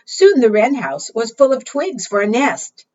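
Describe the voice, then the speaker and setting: A woman leading a preschool storytime, reading aloud and talking to young children in an expressive, deliberate, teacherly way. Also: a little high. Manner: asks the young listeners frequent simple questions. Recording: muffled, distant microphone.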